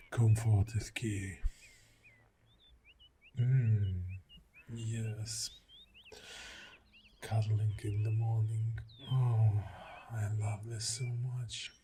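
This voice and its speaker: A man plays a tender, clingy boyfriend in an intimate ASMR roleplay. His soft, deep voice drifts in and out of whispers and moves extremely slowly, with lingering pauses. Birdsong and outdoor ambience are clearly heard behind him. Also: little pitch movement, a little hiss or hum. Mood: contented, cuddly.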